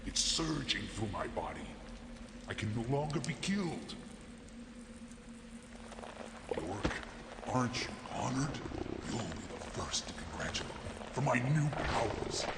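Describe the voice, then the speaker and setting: An actor playing a deranged, gloating killer who believes himself divine, with theatrical delivery in a video game cutscene. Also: male voice, medium pitch, very animated, moderate pace, heavy background noise, lightly acted. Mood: exalted, gloating. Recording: loud music over the dialogue. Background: loud game soundtrack music.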